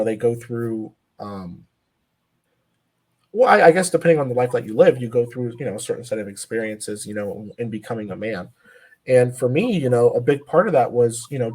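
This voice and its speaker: A man's voice: mid-range voice, warm tone, husky. A man in his twenties, a powerlifter guest on a podcast, talking earnestly with many fillers and restarts. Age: 20s. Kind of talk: casual podcast interview.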